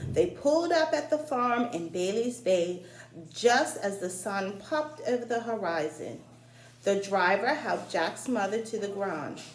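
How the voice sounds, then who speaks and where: A woman reading a folktale aloud for children in an expressive way, addressing her young listeners directly. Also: medium pitch, a little slow. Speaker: story reader, teacher-like host. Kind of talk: read-aloud storytelling for children. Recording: roomy echo, faint background noise.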